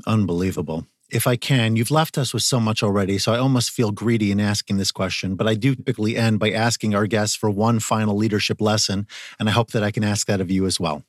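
A man's voice: lowish voice, steady tone, fast, dry recording, clean studio sound. A middle-aged man hosting an interview podcast, encouraging and speaking with frequent fillers. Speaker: podcast host.

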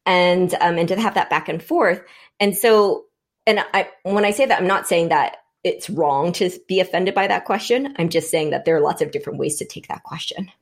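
A woman's voice: lowish voice, normal speed. A woman born in Vietnam, speaking as a panel guest, reflecting aloud and restarting her sentences as she thinks. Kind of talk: conversational panel discussion.

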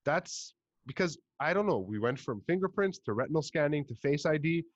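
Animated Montreal-accented man chatting. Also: on the high side, fairly quick, no echo, highs cut off. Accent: Montreal English. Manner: animated storyteller, swears, puts on voices in quotes. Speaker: podcast host. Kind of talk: casual chat.

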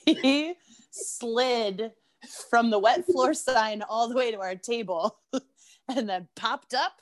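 A woman's voice: on the high side, slow. A woman guest on a podcast, a bartender, telling stories animatedly with frequent laughter and sarcasm. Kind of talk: casual chat.

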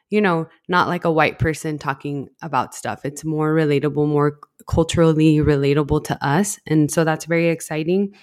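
A young woman from El Paso, co-hosting a podcast in earnest, friendly casual chat. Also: low voice. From El Paso, Texas. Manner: friendly, earnest, repeats words. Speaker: podcast co-host.

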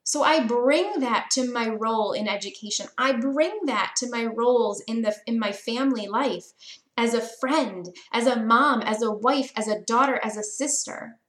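A woman in her thirties talks through a motivational podcast in an earnest, encouraging way, leaning on repeated phrases for emphasis. Her voice sits a little high, and the recording carries a noticeable room echo. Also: moderate pace, a bit bright. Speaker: podcast host.

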